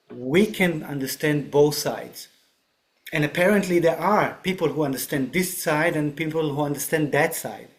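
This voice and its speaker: A man with a fairly high voice speaks unhurriedly, taking his time over each thought. He explains spiritual ideas in a reflective, teaching way and keeps checking that the listener is following with a quick "right?". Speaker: podcast guest. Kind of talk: spiritual discussion.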